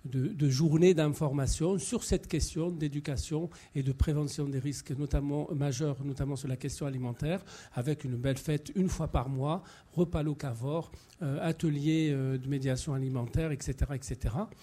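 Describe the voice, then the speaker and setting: A man from Morocco speaks to the public as a researcher and chef. His voice is very soft-edged and dark, set a little higher than average. He talks off the cuff with an activist's message, doubling words as he goes. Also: fairly even intonation, normal speed, few pauses. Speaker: food anthropologist and chef, speaker at a public event. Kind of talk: impromptu public talk, activist.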